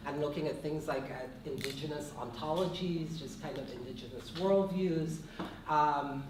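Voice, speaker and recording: A woman's voice: low voice, noisy background. Guyanese-accented woman professor, thoughtful panelist, distant mic in a very echoey room. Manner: thoughtful, often adds "right?". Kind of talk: panel discussion talk.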